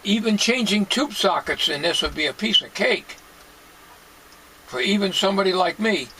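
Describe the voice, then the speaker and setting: An older man talking casually as he works at a bench in a home workshop, plain-spoken and musing, with halting delivery. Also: high voice, expressive, sharp tone. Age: 60s or older. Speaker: hobbyist radio restorer. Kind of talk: casual talking while working. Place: cluttered home electronics workshop, indoors. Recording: noisy home workshop recording.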